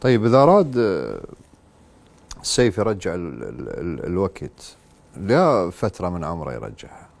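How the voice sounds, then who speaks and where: Low, lively host talking fast. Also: male voice, creaky. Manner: animated, with frequent encouraging interjections. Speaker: talk show host. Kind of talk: interview questions, lively host chat.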